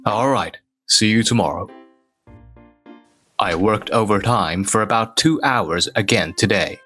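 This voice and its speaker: A male actor as a reserved office worker in a manga dub, speaking low and very slowly. He is socially awkward and understated, telling his own story in a measured deadpan over a music bed. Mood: flat, dismissive. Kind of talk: scripted first-person narration in a manga dub.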